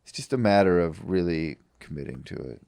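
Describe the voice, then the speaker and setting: A man with a very low, deep voice talks on a comedy podcast in a deadpan, halting way, stumbling over fillers. His voice is close and dry, with no room sound at all. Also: vocal fry, no room sound. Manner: flat, halting delivery with many fillers. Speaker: podcast co-host. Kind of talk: deadpan comedy podcast banter, mock ad read.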